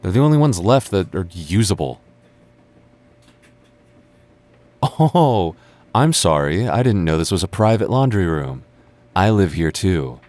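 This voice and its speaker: Male actor as sarcastic childhood friend, young adult, low-pitched voice, stubborn teasing, natural boyfriend-roleplay delivery. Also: sing-song, moderate pace, darkish tone. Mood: exasperated. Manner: teasing, sarcastic, stammers when flustered.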